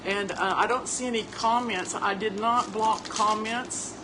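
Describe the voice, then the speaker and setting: Hoarse woman chatting folksy. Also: mid-range voice, fairly even intonation, a little slow, noisy background. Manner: chatty, folksy, addresses listeners as family. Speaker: host. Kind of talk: casual livestream chat.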